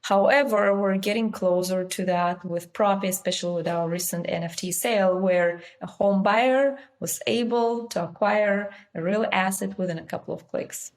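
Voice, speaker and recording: A woman's voice: medium pitch, moderate pace, few pauses. A woman from Russia with a Russian accent, a company founder confidently explaining her work in an interview. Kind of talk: business interview.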